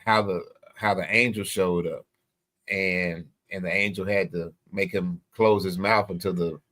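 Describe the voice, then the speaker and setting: A man's voice: deep voice, steady tone, a little slow, fairly bright tone. A man chatting on a radio talk show, chiming in with agreement and Bible stories. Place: radio studio.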